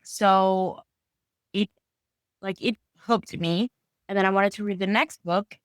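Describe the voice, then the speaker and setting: A woman's voice: mid-range voice, fairly even intonation, takes it slowly, smooth, no echo. Venezuelan woman in her 30s, spanish is her first language, chatty storyteller, dry mic. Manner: friendly, storytelling, uneven pace. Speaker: guest author. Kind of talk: casual interview chat.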